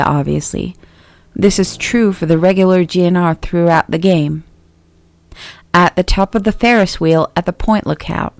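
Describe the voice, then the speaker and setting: A woman reading a scripted creepypasta as narrator, in a measured, expository read-aloud delivery. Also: on the low side, a little mellow, dry recording. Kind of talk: scripted horror story narration. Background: background music.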